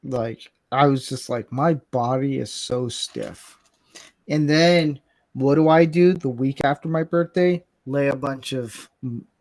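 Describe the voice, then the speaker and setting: A man's voice: mid-range voice, a little mellow. A man in his late thirties hosting a casual livestream chat, informal and bantering. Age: late 30s. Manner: informal, bantering, occasionally swearing. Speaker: livestream host.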